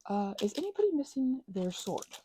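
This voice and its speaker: A woman in a rambling, soft-spoken ASMR craft chat, talking in a playful put-on Scottish accent and drifting between quiet talk and whispers. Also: medium pitch, big pitch swings, normal speed, a little hiss or hum.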